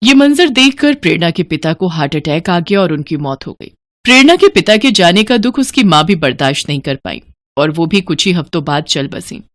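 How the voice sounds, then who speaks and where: A woman's voice: fairly low pitch, lively intonation, normal speed, no echo, studio quality. A woman narrating a scripted moral story as a storyteller.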